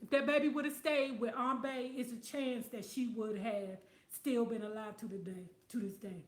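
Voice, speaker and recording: A woman's voice: on the high side, fairly even intonation, moderate pace, echoey room. A woman hosting a casual livestream, talking bluntly with plenty of profanity and losing her voice. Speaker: livestream host reading viewer comments.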